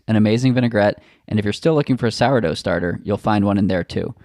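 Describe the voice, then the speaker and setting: A man hosting a podcast interview in casual chat, relaxed and halting, with many fillers and restarts. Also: on the low side, no echo, clean studio sound. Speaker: podcast host.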